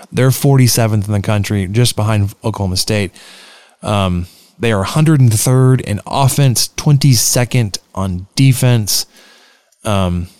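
A man's voice: medium pitch, darkish tone, no room sound, clean studio sound. A man co-hosting a sports podcast in casual chat, rambling through stats with frequent fillers and restarts. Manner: rambling, filler-heavy, halting. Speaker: podcast co-host.

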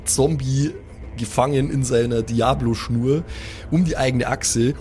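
A man's voice: mid-range voice, steady tone, unhurried, darkish tone, faint music behind. A man, narrating an improvised tabletop game as game master.

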